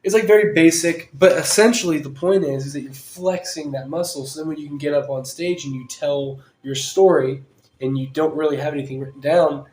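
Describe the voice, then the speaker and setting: A man hosting a podcast in casual chat, rambling and joking with bursts of loud laughter. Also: a little high, fairly quick. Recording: roomy room with faint ambience.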